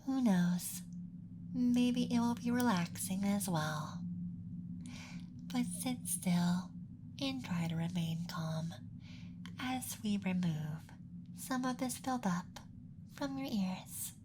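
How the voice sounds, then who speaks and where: A performer voicing a caring, apologetic alien examiner in a soft, breathy, reassuring ASMR whisper close to the microphone. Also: medium pitch, noisy background, close to an everyday voice. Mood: soothing, apologetic. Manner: gentle, slow, reassuring. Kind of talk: ASMR roleplay.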